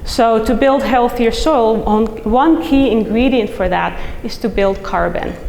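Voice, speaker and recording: A middle-aged woman with a Dutch accent speaks with eager, chatty energy, often breaking off with fillers and restarts as she presents. Her voice sits a little high, and it rings out through a large reverberant hall with a faint hiss underneath. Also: a little slow, creaky, a little mellow. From Netherlands. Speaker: soil scientist giving a symposium talk. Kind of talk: conference presentation. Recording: echoey hall recording, faint noise.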